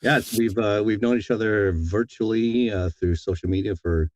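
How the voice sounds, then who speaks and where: A middle-aged man speaking as an interview guest, telling his story in a casual, reflective, somewhat halting way. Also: on the low side, dry recording. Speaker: interview guest, audiobook narrator. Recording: slightly dull, dry audio.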